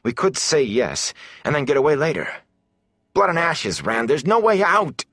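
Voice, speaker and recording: Frightened young man, voiced by a male narrator, raised pitch, urgent, defiant, fantasy audiobook. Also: very animated, normal speed, a bit bright, no echo, clean studio sound, full character voice. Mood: panicked, pleading. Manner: urgent, strained. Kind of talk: audiobook character dialogue.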